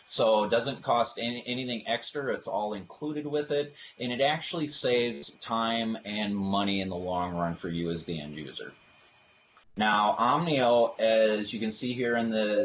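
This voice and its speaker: A man with a fairly low voice presents a technical product webinar in an informal, explanatory way. The recording is somewhat dull and lo-fi, with faint noise behind him. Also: a little slow.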